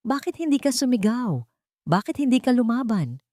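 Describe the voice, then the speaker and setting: A woman's voice: a little high, very animated, normal speed, dark tone, dry recording, studio quality, voice pushed up. A woman voicing a cold, protective, suspicious father in an audio story, with a curt manner. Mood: cold, accusing. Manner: curt, accusing.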